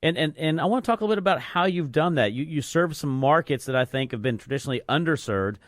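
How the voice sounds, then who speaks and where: Fast-talking male talk radio host. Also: fairly high pitch, lively intonation, no echo, clean studio sound. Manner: rapid, conversational, repeats words when restarting. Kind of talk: business talk radio interview.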